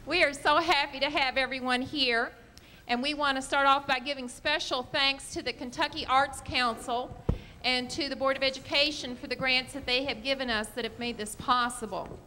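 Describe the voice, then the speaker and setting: A woman's voice: sits high, fairly even intonation, normal speed, pushed and bright. A woman giving a welcoming speech to an audience as a school principal at a live stage event. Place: large echoey hall, live stage event. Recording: echoey hall, some background noise.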